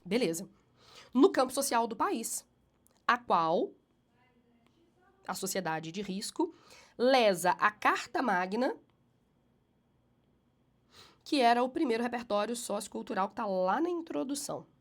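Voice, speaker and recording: A woman teacher correcting a student essay, slowing to read passages aloud with emphatic rises, then explaining briskly; she has a cold and sounds a bit nasal. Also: on the high side, big pitch swings.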